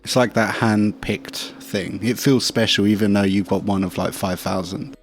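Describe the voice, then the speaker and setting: A man's voice: lowish voice, steady tone, moderate pace, faint music behind. A man with an English accent in a casual, slangy chat. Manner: slangy, informal. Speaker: talk show participant.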